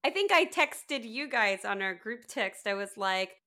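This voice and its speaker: Woman, middle-aged, crisp bright tone, medium pitch, podcast co-host, casual banter, dry self-deprecating humor. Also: sing-song, unhurried. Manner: wry, joking, self-deprecating, swears casually. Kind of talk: casual group chat.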